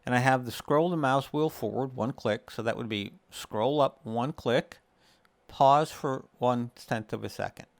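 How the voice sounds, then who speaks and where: A man's voice: mid-range voice, a little slow, creaky, no echo. A man hosting a casual gaming tutorial, explaining things step by step in a conversational way. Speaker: video creator and host.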